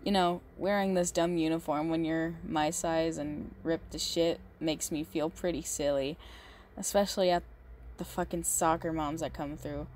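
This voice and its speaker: A woman playing a big, muscular goth girlfriend, possessive and unhinged yet doting, in an intimate, halting ASMR roleplay monologue. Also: sits low, fairly even intonation, darkish tone, a little hiss or hum, natural voice. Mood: cosy, confiding. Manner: slow, halting, doting, menacing under sweetness.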